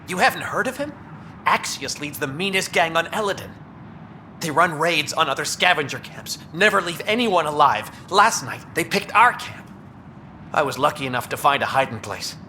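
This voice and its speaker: A talkative outlaw scavenger from a video game, nervous and keen to placate. His voice sits a bit high and cuts sharply, jumping around in pitch as he talks in a colloquial, edgy way. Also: animated, normal speed, pushed and bright, slight background noise. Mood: uneasy.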